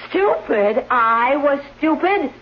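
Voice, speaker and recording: A woman's voice: sits high, expressive, slow. An actress playing a spiteful, scheming woman full of bitter hatred, in a 1970s radio drama style with emphatic, venomous delivery. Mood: defensive, indignant. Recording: dull, humming 1970s radio recording.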